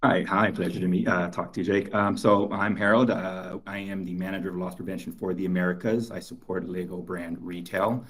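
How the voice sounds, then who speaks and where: A man from Los Angeles, a loss prevention manager, speaking in a soft-spoken, halting way. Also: low-pitched, steady tone, breathy edge.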